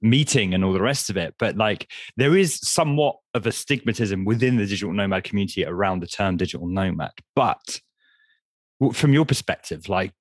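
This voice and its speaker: A man's voice: mid-range voice, no echo. A man hosting a tech podcast, giving an upbeat intro and asking casual follow-up questions. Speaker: podcast host. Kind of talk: podcast interview.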